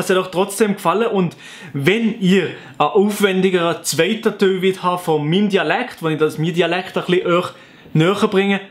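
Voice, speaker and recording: A young man from the Canton of Fribourg with a Sensler Swiss German accent, playfully explaining his dialect to the camera at home. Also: sits high. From Canton of Fribourg, Switzerland. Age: young adult. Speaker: YouTuber.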